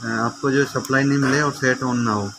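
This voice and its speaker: A man's voice: mid-range voice, steady tone, moderate pace, heavy background noise. A man presenting an informal how-to demonstration, explaining step by step in a conversational way and addressing viewers like friends. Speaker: repair tutor presenting a how-to video.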